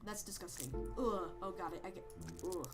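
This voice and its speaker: A man putting on a raised, goofy voice with a Swedish accent, rambling and hesitant as he comments on a game. He yelps and stammers at every surprise. Loud game music plays behind him. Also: sits high, normal speed, natural voice. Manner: rambling, hesitant, jumpy exclamations. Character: goofy high-voiced man persona, rambling and easily startled. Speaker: let's play host. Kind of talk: let's play commentary.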